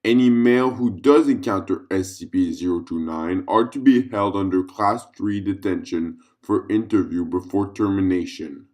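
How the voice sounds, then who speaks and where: A man reading a scripted, clinical containment report in a formal, measured way as narrator of a fiction audio log. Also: low voice, darkish tone.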